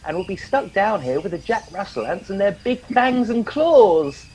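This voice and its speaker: A man's voice: sits high, very animated, moderate pace, a little mellow, slightly rough, full character voice. A man playing a naive, timid young crewman in a comedy radio play, childlike and whiny. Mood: fearful.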